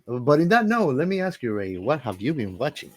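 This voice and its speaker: Jokey man with a medium-pitched voice. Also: big pitch swings, fairly quick. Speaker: podcast co-host. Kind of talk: casual chat.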